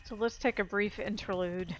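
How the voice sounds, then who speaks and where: A woman's voice: medium pitch, fairly even intonation, normal speed, a bit bright, soft background music, rough recording. Casual, chatty female gamer. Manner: chatty, thinking aloud. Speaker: gamer, let's play host. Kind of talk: casual gameplay commentary.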